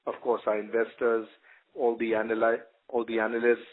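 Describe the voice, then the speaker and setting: A man giving a semi-formal, halting, appreciative address as a company executive. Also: medium pitch, monotone. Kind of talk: semi-formal thank-you address on a conference call. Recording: narrow tinny phone line.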